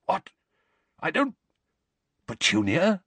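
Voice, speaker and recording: Man doing a blustering, husky storybook uncle. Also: on the high side, wildly swooping pitch, takes it slowly, fairly bright tone, no room sound, pitched above the performer's own voice, heavily acted. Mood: flustered. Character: blustering middle-aged uncle, bullying but easily flustered.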